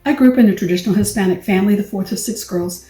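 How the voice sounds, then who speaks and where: Brief: woman in her 60s from El Paso, earnest award speech, mellow tone, echoey room heard from afar, faint music. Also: medium pitch, rapid-fire. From El Paso, Texas. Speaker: award recipient. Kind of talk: prepared acceptance speech. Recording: echoey room, distant mic, soft music.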